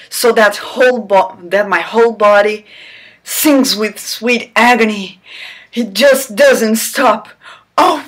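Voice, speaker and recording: A woman's voice: mid-range voice, a bit bright. A woman hosting her channel, reading a romance novel aloud in an over-the-top theatrical way and breaking off for sarcastic jokes. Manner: theatrical, breaks off to joke and squeal. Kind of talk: mock-dramatic reading with sarcastic asides.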